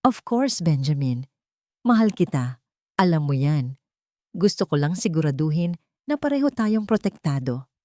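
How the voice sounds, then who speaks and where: A woman's voice: sits low, sing-song, a little slow, long pauses, warm tone, no echo, studio quality. A woman voicing a manipulative, ambitious bride-to-be who talks sweetly and persuasively in a narrated story. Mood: sweetly reassuring.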